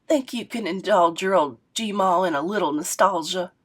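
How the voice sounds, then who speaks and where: A woman voicing a grieving, unconventional old grandmother, talking slowly and heavily in a story read aloud. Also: fairly low pitch, husky. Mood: wistful.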